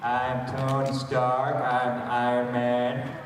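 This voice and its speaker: A man's voice: medium pitch, completely flat delivery, slow. A man co-hosting a live panel with casual, mock-dramatic joking. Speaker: panel co-host. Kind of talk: casual animated banter with audience. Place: large echoey convention panel room. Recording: very echoey hall, far mic, loud crowd.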